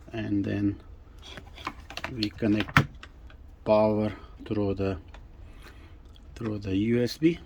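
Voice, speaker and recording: A man's voice: on the low side, creaky, mellow, slight background noise. A man who is not a native English speaker, casually demonstrating a gadget in a hesitant, step-by-step way. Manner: hesitant, halting, step-by-step explaining. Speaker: presenter demonstrating a vintage gadget. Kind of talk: casual unscripted product demonstration.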